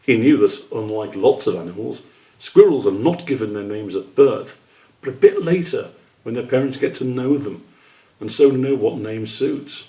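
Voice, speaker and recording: Mellow man reading a children's story. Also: medium pitch, normal speed, rough recording. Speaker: author reading his children's book.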